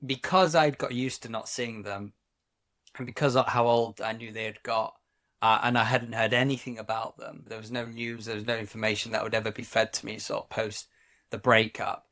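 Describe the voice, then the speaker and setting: Gravelly man, reflective podcast talk. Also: fairly low pitch, level tone, fairly bright tone. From Wales. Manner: reflective, halting, many restarts. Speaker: podcast co-host. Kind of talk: casual chat.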